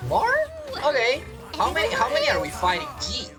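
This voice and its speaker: A woman's voice: on the high side, wildly swooping pitch, fairly quick, over music. A woman giving casual, rambling game commentary, talking half to herself and half to viewers. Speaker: gameplay streamer.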